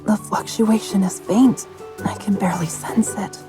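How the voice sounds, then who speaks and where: A woman acting as a young female hunter in a video game, capable and no-nonsense. Her mid-pitched voice is practical and skeptical, ready with a retort. Music plays clearly behind her. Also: steady tone, normal speed, natural voice. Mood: focused. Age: young adult.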